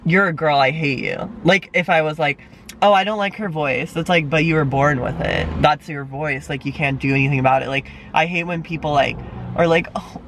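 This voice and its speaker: A man's voice: a little high, fairly quick, a bit bright, a little hiss or hum. A young man chatting casually with a friend in a parked car, full of fillers and supportive. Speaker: friend and co-host. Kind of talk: casual chat.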